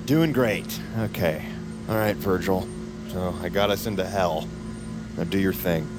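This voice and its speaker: A man's voice: low-pitched, measured pace, loud music behind the voice. A man playing a reluctant, nervous prisoner who rambles, stammers and wisecracks in an audio drama. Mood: glib. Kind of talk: naturalistic audio drama acting.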